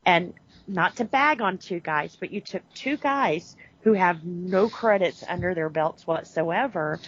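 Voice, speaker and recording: Podcast co-host: woman, low-pitched voice, animated casual talk, dull lo-fi audio. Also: measured pace. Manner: animated, lots of restarts and "you know". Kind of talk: casual chat reviewing a TV series.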